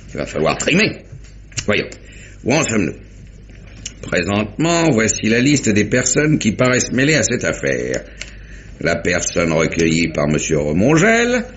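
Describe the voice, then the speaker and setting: An actor playing a brisk, gruff, methodical police inspector in a 1950s French radio mystery, talking things through deliberately. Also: male voice, sits low, exaggerated voice. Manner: talkative, deliberate summing-up with muttered interjections. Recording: dull, noisy vintage radio recording.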